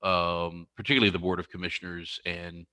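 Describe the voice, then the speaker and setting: A man hosting an online meeting over a video call, halting, with many restarts. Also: sits low, little pitch movement, bright, forceful tone, dry recording. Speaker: meeting host.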